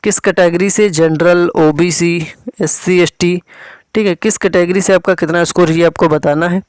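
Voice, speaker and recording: Higher-pitched man explaining exam updates. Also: fairly even intonation, moderate pace, clean tone, dry recording. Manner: instructive, repeats key figures, addresses students directly. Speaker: exam coaching presenter.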